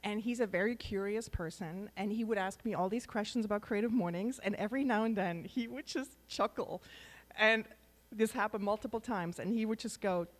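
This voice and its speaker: A woman's voice: mid-range voice, fairly quick. A woman hosting a live event, introducing a speaker on stage in an enthusiastic, chatty way. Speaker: event host. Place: large venue on stage.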